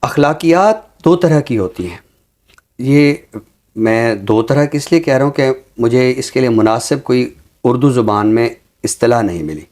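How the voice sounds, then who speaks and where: A man speaking in a measured, deliberate and earnest way, explaining his views as a talk show commentator with a literary vocabulary. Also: medium pitch, lively intonation, darkish tone.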